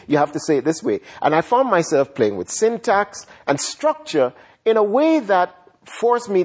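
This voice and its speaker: Husky writer guy thinking out loud, repeats himself a bit, slow and reflective. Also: fairly high pitch. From Ghana. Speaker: writer and guest. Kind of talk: reflective interview answers.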